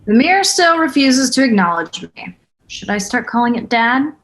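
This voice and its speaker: A woman's voice: a little high, big pitch swings, normal speed, fairly bright tone, low-quality recording. A woman playing a frantic, insistent young woman who believes she is a ghost, in a script table read. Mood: defensive, wry.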